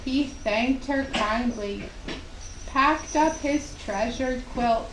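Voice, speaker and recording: A woman tells a children's tale at a very slow, deliberate pace, her voice a little high. She sounds some way from the microphone in a roomy, echoing space, in the gentle manner of a puppet show storyteller. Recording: echoey, distant mic, faint hiss.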